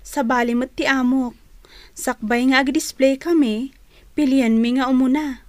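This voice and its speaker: A woman's voice: sits high, fairly even intonation, unhurried, dry recording. A woman with a Filipino accent playing a dutiful, honest fruit seller, respectful and matter-of-fact, in a radio drama. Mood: earnest. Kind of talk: Ilocano radio drama acting.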